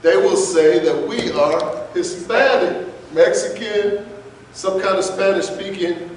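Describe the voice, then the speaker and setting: A man's voice: sits high, slow pace, a little hiss or hum. A man giving an impassioned, emphatic lecture to an audience, with frequent rhetorical questions. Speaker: guest speaker. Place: large lecture room. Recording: echoey hall recorded from far off.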